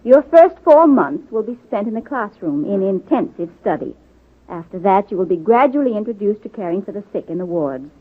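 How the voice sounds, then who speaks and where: Superintendent of nurses, 1940s radio play: formal, deliberate, kindly yet exacting, husky, very thin band-limited sound. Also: female voice, medium pitch, very animated. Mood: measured, instructive. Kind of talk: 1940s radio drama acting. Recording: muffled phone-like vintage radio recording.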